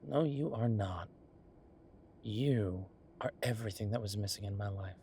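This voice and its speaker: A man playing an affectionate, teasing chef boyfriend in an intimate roleplay audio, talking in a conversational, reassuring way with a husky voice. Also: fairly low pitch, normal speed, mellow, slight background noise, close to an everyday voice. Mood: tender reassurance. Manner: conversational, reassuring, playfully bantering. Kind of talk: intimate one-sided boyfriend roleplay acting.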